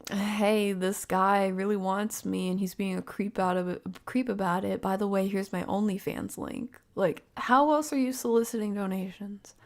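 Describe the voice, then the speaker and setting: Creaky-voiced woman thinking aloud on stream. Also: medium pitch, moderate pace, few pauses. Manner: animated, slangy, analytical, thinking aloud. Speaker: livestream host reacting to a video. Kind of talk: casual reaction commentary to live viewers.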